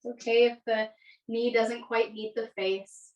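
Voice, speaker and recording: A woman leading a yoga class as the instructor, giving gentle, unhurried cues with pauses between them. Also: on the high side, little pitch movement, highs cut off. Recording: echoey room, distant microphone.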